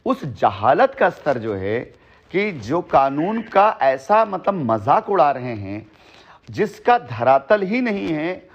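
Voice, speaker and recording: A man's voice: fairly high pitch, sing-song, slow. A man on a recorded phone call, explaining at length in an emphatic, long-winded way and repeating his phrases for weight. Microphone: telephone.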